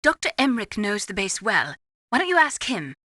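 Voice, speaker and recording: An actress playing a composed, concerned military medical adviser in a video game, speaking in a measured, informative way. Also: female voice, medium pitch, sing-song, bright, forceful tone, dry recording, studio quality.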